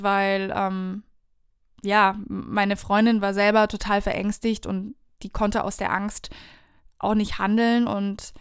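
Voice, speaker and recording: A woman telling viewers a personal travel story in a casual, animated, conversational way. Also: medium pitch, clear, resonant tone, no echo, studio quality.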